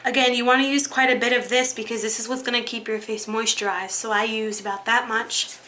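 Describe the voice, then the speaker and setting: A young woman talks through a skincare routine in a casual, rambling way, full of ums and likes. Her voice sits a bit high and sounds crisp and bright, with a slight hoarseness left over from being sick. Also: fairly even intonation, brisk, a little hiss or hum. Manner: chatty, demonstrating while talking, many ums and likes. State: slightly hoarse, recovering from illness. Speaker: beauty vlogger.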